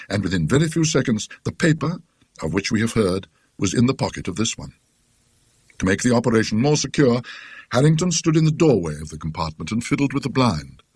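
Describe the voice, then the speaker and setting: A British man narrating a classic ghost story in a dry, scholarly, measured literary manner. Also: medium pitch, husky, no echo. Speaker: audiobook narrator.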